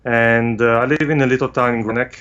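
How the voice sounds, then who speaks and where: A man from Italy with an Italian accent, talking about his photography in a hesitant way with many pauses, over a video call. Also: mid-range voice, fairly even intonation, fairly bright tone, muffled recording. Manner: hesitant, frequent "uh" pauses, non-native phrasing. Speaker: guest photographer. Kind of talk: remote interview, casual explanation. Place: home studio.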